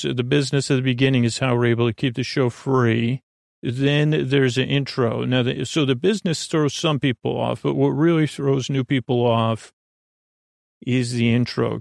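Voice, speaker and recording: Meandering man with a creaky voice. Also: medium pitch, no room sound, studio quality. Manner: meandering, halting, trails off into tangents and self-questioning. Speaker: podcast host. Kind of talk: rambling monologue for a sleep podcast.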